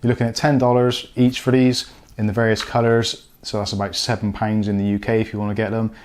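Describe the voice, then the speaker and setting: A man's voice: on the low side, little pitch movement, quick pace, a little mellow. A man hosting an unboxing and review video, talking casually to viewers as he explains a product.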